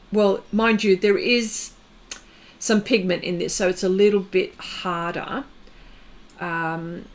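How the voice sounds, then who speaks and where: A woman hosting an art livestream, chatting casually and rambling as she works, often laughing. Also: medium pitch. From Australia. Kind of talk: casual chat while demonstrating pastel art.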